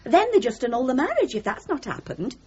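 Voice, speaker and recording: A woman's voice: high-pitched, very animated, brisk, breathy edge, exaggerated voice. An actress playing a prim, gossipy, insistent middle-aged mother in a 1970s radio comedy drama, emphatic and fond of genteel euphemism. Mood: scandalised, insistent. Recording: muffled, hissy old radio broadcast.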